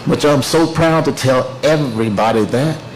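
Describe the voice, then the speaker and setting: An older man from Tennessee, giving an emotional, halting speech at the unveiling of his artwork. He often repeats phrases and pauses to collect himself. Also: medium pitch, a little hiss or hum, rough recording. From Tennessee, United States. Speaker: artist unveiling his work.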